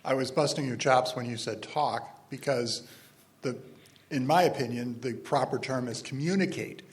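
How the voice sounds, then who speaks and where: A man comments from the audience in a casual, teasing style. His voice is of average pitch. He talks informally, offering his own opinion. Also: normal speed.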